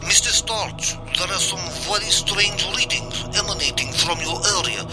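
A man's voice: high voice, animated, normal speed, over loud music. A man playing a dutiful, self-important starship second officer in a comedy radio play.

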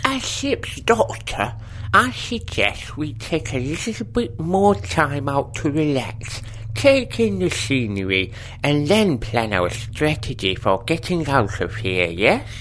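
A woman playing a practical starship doctor in a comedy radio play, measured and advising. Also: deep voice, very animated, heavily acted, low-quality recording. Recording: faint noise behind.

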